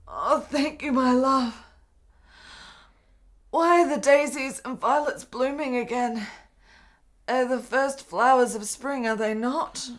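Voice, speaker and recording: A woman playing a frail, gravely ill, lovesick woman in an 1870s Italian radio play, tender and emotional. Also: sits high, slow pace, pauses often. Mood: weak, tender.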